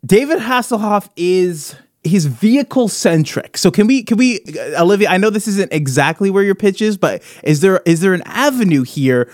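Male comedy podcast co-host, lighter-pitched and very animated, close dry sound, loose excitable riffing. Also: normal speed, few pauses, no room sound. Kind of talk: casual comedy podcast chat.

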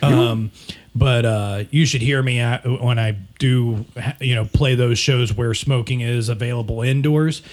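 A man with a raspy voice co-hosting a casual podcast chat, rambling with frequent restarts and laughing easily. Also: mid-range voice, steady tone, measured pace, a little mellow. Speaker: podcast co-host, DJ.

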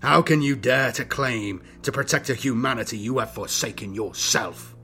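Righteous young inquisitor, voiced by a male narrator: stern, accusing, forceful delivery with a gritty low edge, audiobook fantasy. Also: animated, slow pace, soft background music, full character voice. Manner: firm, forceful, declamatory.

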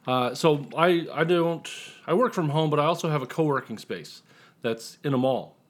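A man's voice: a little high, moderate pace. A man speaking as a guest author on a podcast, telling casual stories in a wry, joking way. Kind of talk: casual interview storytelling.

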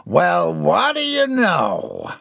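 A man voicing a jolly, rough country husband and father with a slow, easygoing drawl in an audiobook. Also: sits high, wildly swooping pitch, sharp tone, no echo, clean studio sound, voice pushed up, full character voice. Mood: amused.

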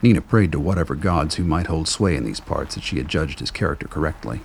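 A man with a gravelly, husky voice giving scripted dramatic narration for a radio play. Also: extremely low pitch, animated, fast talker, a little hiss or hum.

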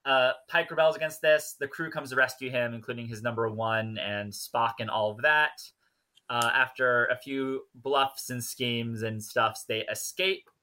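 A man's voice: medium pitch, steady tone, unhurried, sharp tone. A man co-hosting a podcast, recapping and chatting casually with wry asides. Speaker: podcast co-host. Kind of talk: casual chat and episode recap.

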